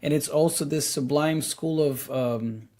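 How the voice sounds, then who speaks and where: A man's voice: fairly high pitch, unhurried, warm tone. Earnest man explaining, lots of fillers. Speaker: podcast co-host. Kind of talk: casual spiritual discussion.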